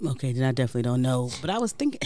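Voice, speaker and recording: A woman's voice: very deep voice, big pitch swings, rapid-fire, dark tone, dry recording, clean studio sound. A woman co-hosting a casual podcast with her husband, playful and sassy in manner. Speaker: podcast co-host. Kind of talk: casual chat.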